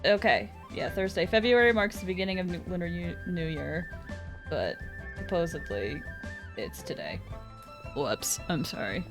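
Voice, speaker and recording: A woman's voice: fairly low pitch, animated, moderate pace. A woman doing casual live game stream commentary, rambling and reacting with jokey asides. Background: game music.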